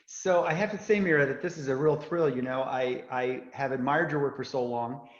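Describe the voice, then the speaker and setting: A man interviewing an artist casually over a video call, eager and quick to jump in, often repeating words. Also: medium pitch, echoey room, muffled recording.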